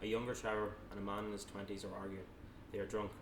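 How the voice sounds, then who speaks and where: A man narrates a screenplay at a table read in a plain, matter-of-fact way. His voice is lowish and very even in pitch. It is picked up from far off in a noisy, echoey room. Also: quick pace. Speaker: narrator reading stage directions at a table read. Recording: echoey room, distant mic, noisy background.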